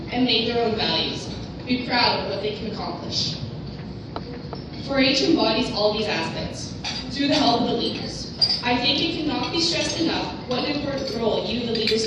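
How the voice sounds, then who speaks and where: A woman's voice: fairly high pitch, heavy background noise. Young woman, Dutch native, earnest speech read from notes; distant mic in an echoey hall. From Netherlands. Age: young adult. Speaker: award recipient giving a speech. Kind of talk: prepared speech. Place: conference luncheon hall.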